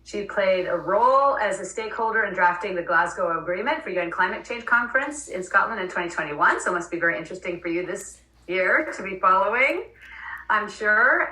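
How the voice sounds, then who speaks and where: A woman's voice: on the low side, bright, forceful tone. A woman hosting a podcast interview, speaking conversationally as she introduces and questions a guest. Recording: roomy sound, distant from mic.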